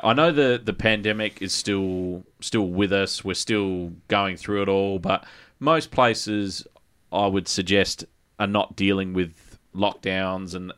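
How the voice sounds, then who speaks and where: An Australian man with a fairly low, clean and resonant voice hosts a casual gaming podcast. He talks colloquially, repeating himself as he finds his words, and swears freely. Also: a bit bright, clear, resonant tone. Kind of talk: casual chat.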